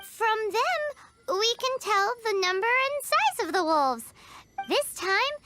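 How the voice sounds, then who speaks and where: A tiny childlike fairy companion from an anime game, extremely high and squeaky. The cheeky little creature speaks slowly with big swooping intonation and blunt, cute exclamations. Playful music runs behind the voice. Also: female voice, very animated, full character voice. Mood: earnest.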